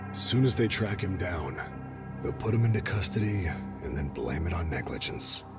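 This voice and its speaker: World-weary cop, low gruff voice, blunt and sardonic, game drama dub, loud music underneath. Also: male voice, sing-song, normal speed. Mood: grim, resigned. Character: jaded, gruff middle-aged police detective, profane and weary.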